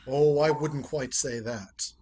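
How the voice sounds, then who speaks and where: A man's voice: medium pitch, slow pace, darkish tone, a little hiss or hum, lightly acted. A man playing a wry, urbane, unruffled ex-husband in a radio play, speaking with dry politeness. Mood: wry, hospitable. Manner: dry, polite, wryly amused.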